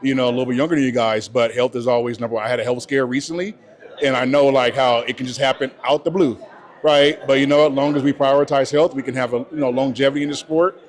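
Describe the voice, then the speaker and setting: A man's voice: medium pitch, fairly even intonation, quick pace. A man in his forties from California, a bodybuilding coach, talking casually in an interview and often adding "you know" and "right?". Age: 40s.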